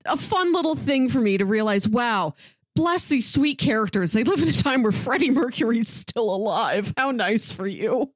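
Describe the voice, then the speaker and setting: A woman's voice: a little high, lively intonation, normal speed, no echo. A woman co-hosting a podcast, chatting casually as she reviews a show. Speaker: podcast co-host.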